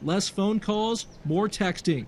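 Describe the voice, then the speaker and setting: A man narrates a scripted news package as a reporter. His voice is pitched clearly high for a man. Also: slow, slight background noise.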